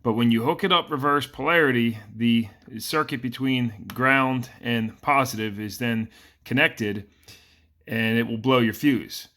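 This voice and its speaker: A man's voice: medium pitch, unhurried, sharp tone. A man giving a casual, plainspoken how-to explanation as the host of a hands-on repair tutorial. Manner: plainspoken, informal.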